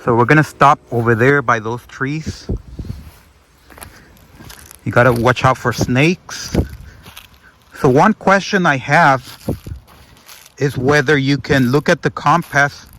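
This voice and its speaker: A man's voice: medium pitch, slow pace, long pauses, fairly bright tone, a little hiss or hum, rough recording. Casual man demonstrating a product hands-on. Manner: repeats himself, narrates each step as he does it. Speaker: product reviewer.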